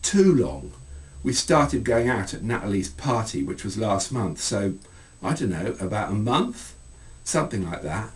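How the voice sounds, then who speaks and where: A man's voice: lowish voice, big pitch swings, unhurried, distant mic. A man voicing an indignant, scornful teenage girl in a book reading, emphatic, dismissive and colloquial. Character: indignant, scornful teenage girl ranting about her boyfriend.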